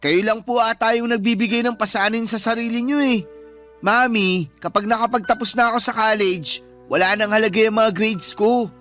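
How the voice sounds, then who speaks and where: A man's voice: sits high, fairly even intonation, normal speed, sharp tone, soft background music. An actor playing a spoiled, disrespectful college-age son who talks back to his mother with sarcasm in a radio drama. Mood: smug, defiant. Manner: sarcastic, insolent back-talk.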